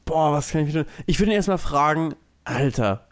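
A man's voice: a little high, lively intonation, brisk. A man co-hosting a podcast, improvising casual comedic banter with a questioning, mock-sceptical way of talking. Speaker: podcast co-host.

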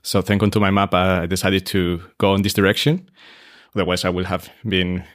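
A man, a hotel expert talking relaxed in an interview, with many fillers and restarts. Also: sits low, fairly quick, no echo, clean studio sound. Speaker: hotel operations expert, guest. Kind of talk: casual interview conversation.

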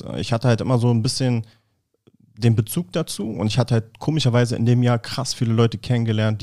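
A man in his thirties from Berlin, a football player talking casually as a podcast guest, with frequent fillers and restarts. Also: on the low side. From Berlin, Germany. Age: 30s. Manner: relaxed, many fillers and restarts. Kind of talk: casual interview chat.

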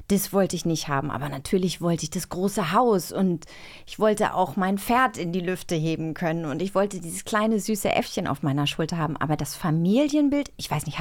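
Woman, fairly low pitch, podcast co-host, casual chatty delivery. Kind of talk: casual conversational chat.

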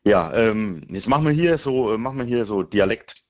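A man co-hosting a casual podcast, rambling and halting as he circles back mid-sentence. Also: fairly low pitch, animated, darkish tone, lo-fi sound. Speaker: podcast and radio co-host. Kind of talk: casual unscripted banter.

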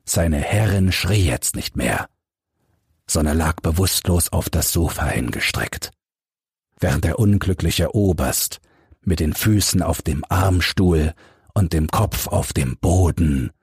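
Deep, husky male audiobook narrator. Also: a little mellow, dry recording, clean studio sound. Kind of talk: scripted narration of a detective story.

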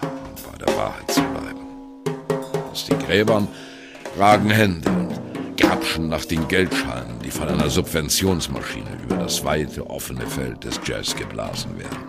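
Very deep, gravelly old jazzman. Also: sing-song, exaggerated voice. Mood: sardonic. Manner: wry, dramatic, deliberate phrasing with colloquial turns. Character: ageing male jazz musician, wry, reminiscing on postwar youth. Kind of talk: radio play monologue, storytelling. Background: music.

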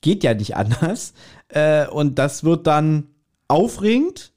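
A man's voice: fairly high pitch, very animated, takes it slowly, a little mellow. A man chatting casually as a co-host on a podcast.

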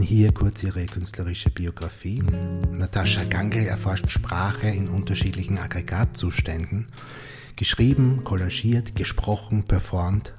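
A man with an Austrian German accent hosting a literary podcast, reading his guest's biography in a measured way before the conversation. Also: sits low, a little mellow, husky. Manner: measured, reads a long list slowly. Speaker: podcast host.

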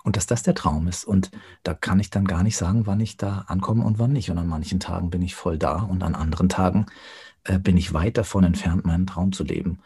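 A man speaking reflectively as an interview guest, thoughtful and often pausing to search for words. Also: low-pitched, dark tone, slightly rough. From Germany.

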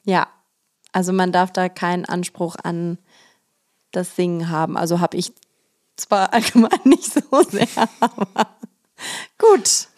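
A hoarse woman co-hosting a podcast, chatting casually and mocking herself with frequent laughs. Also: on the low side, unhurried, pauses often, a little mellow. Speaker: podcast co-host. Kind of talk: casual chat.

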